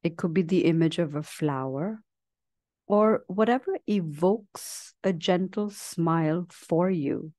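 A woman guides a meditation in a slightly low, softly mellow voice. She speaks gently and very slowly, leaving long pauses between short phrases. The sound is close and dry. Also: dry recording. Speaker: meditation teacher. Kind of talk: guided meditation, slow soothing instruction.

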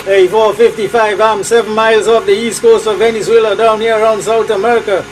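A man's voice: high voice, little pitch movement, brisk, heavy background noise. A man with a Trinidadian accent making hearty, repetitive radio calls as an operator, in patter full of call signs. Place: small cluttered room at home.

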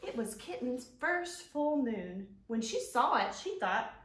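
A woman presents a children's storytime in an expressive, child-directed way. Her voice sits a little high and swings widely in pitch, with key words drawn out. The sound is roomy, picked up by a camera a few feet away in a living room. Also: slow, slight background noise. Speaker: children's librarian, storytime host. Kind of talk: storytime presentation and picture-book read-aloud for young children. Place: living room at home, indoors.

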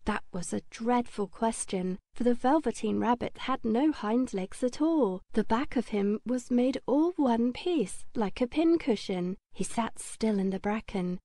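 Woman, audiobook narrator, slightly high pitch, soft-edged mellow tone, dry studio-like sound, gentle delivery. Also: lively intonation, measured pace, hardly any pauses, no room sound. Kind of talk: scripted storybook narration.

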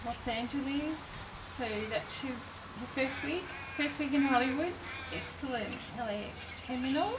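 A woman's voice: sits high, unhurried. A middle-aged woman with an Australian accent chatting casually outdoors. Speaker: vlogger's mother. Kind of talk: casual chat. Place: outdoors at a farm. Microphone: camera microphone from a distance. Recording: distant mic, outdoor background noise.

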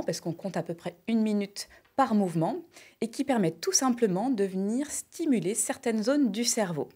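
A woman in her thirties guides viewers through simple exercises on a morning TV show. Her delivery is clear and upbeat, and she lists points in an even, steady rhythm. She sounds close and clean through a lapel mic in a bright studio. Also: mid-range voice. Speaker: health and wellness columnist. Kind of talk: morning TV segment, explaining and demonstrating.

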